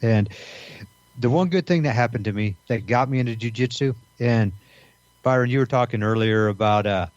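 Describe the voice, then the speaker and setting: Man in his fifties, halting and lo-fi. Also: medium pitch, darkish tone, no room sound. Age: 50s. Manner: halting, many fillers. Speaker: podcast co-host. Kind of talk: casual chat. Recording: dry, dull lo-fi sound.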